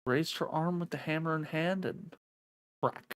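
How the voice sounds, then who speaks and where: An expressive, excitable streamer reading a visual novel aloud in a dramatic way, with casual sweary reactions. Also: mid-range voice, a little slow, no room sound, clean studio sound.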